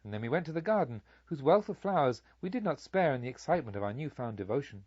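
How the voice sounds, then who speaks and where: A man reading a literary story aloud as an audiobook narrator, in a measured, formal storytelling manner. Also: medium pitch, big pitch swings, husky, no echo, lo-fi sound.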